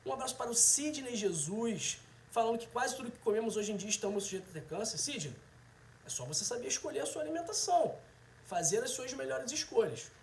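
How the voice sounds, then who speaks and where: A man's voice: very high voice, very animated, brisk, slight background noise. A man of about thirty with a Brazilian accent, chatting casually and directly to viewers as a livestream host. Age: 30s. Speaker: host, health video presenter. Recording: roomy, echoing sound.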